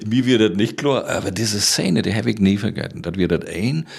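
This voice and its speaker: Deep, gravelly northern German man. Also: lively intonation, a little fast. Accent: northern German, Low German. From island of Rügen, northern Germany. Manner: vivid anecdotal telling, acts out quotes. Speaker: playwright and storyteller, interview guest. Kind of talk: conversational storytelling in an interview.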